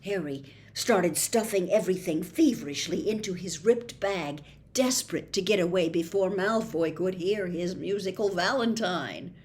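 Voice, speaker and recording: A woman narrating a children's novel aloud, reading expressively and dropping to a hush in quiet moments. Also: fairly low pitch, normal speed. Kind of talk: storybook read-aloud.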